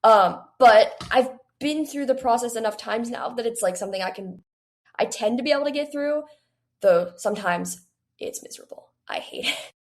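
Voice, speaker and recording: A young woman of about eighteen talks casually as an interview guest. Her voice has a noticeable creak, and her answers wander, full of "like" and little quotes of her own thoughts. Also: a little high, normal speed. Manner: rambling, filler-heavy, peppered with "like" and quoted self-talk. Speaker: guest author.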